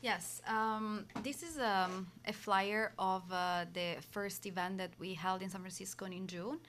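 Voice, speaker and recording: A woman's voice: medium pitch, steady tone, a little slow, a bit bright. A woman from Italy with an Italian accent, a nonprofit co-founder speaking as an interview guest in hesitant speech full of fillers.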